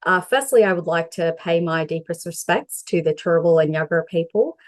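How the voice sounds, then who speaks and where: A woman's voice: lowish voice, brisk, dry recording. A young woman from Sri Lanka giving a prepared talk as a mental health advocate, dialing in over a video call. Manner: reads a prepared presentation steadily.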